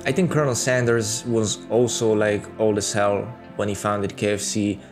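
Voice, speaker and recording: A man's voice: fairly low pitch, flat delivery, moderate pace, music in the background. A man in his thirties with a Serbian accent, talking candidly to camera in a casual home video, close to a studio mic. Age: 30s. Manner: candid and conversational, with many fillers. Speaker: YouTuber. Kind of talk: casual talking-head monologue. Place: small room at home, indoors. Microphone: studio mic on boom arm, close.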